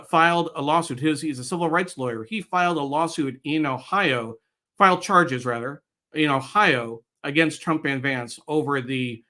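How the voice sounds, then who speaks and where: A middle-aged American man guest-hosting a live online show, speaking in a halting way with pauses mid-phrase. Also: mid-range voice, pushed and bright. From United States, New York area. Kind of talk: live show introduction, reading viewer comments.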